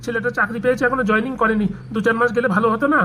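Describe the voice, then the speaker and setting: A woman's voice: fairly high pitch, fairly even intonation, rapid-fire, a bit bright, a little hiss or hum, close to an everyday voice. A woman playing a humble, worried, penniless village father in a comic cartoon, deferential and plaintive. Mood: humble, hesitant.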